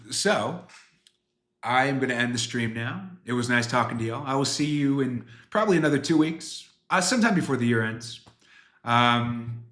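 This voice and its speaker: A man's voice: medium pitch, fairly bright tone, reverberant. A man in his twenties chatting casually on a livestream as a game developer, answering viewers in a rambling, self-deprecating way. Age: 20s.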